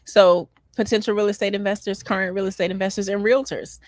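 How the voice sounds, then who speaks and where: A woman's voice: medium pitch, fairly even intonation, fast talker, darkish tone, no room sound. An American woman hosting a money advice talk, speaking conversationally with emphatic, encouraging delivery and often acting out what people might say. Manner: emphatic, encouraging, acts out imagined remarks. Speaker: talk show host on real estate financing.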